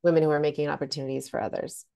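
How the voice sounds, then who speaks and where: Creaky low-voiced woman, chatty. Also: little pitch movement, rapid-fire, mellow. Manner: chatty, many fillers like "like" and "you know". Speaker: podcast co-host. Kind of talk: casual conversation over video call. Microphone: video call.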